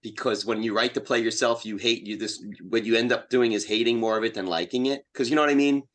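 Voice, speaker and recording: A man's voice: medium pitch, steady tone, quick pace. Male playwright in casual conversation, mid-range voice, self-deprecating. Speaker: playwright and podcast guest. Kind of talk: casual conversation about writing plays.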